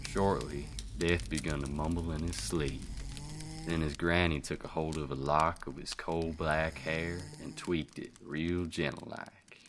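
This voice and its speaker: A man's voice: extremely low pitch, fairly even intonation, a little slow, background noise. A man playing a homespun Old West storyteller with an American country accent, narrating a folktale in colloquial style for radio drama. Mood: hushed storytelling. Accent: American Southern or Western. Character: folksy Old West yarn-spinning storyteller.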